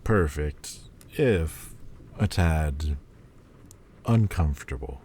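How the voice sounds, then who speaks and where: A man voicing the male Lord of Demons in an audio roleplay, teasing and playful, turning halting and hesitant. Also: rumbling low, animated, darkish tone, slight background noise, exaggerated voice. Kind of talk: listener-directed audio roleplay.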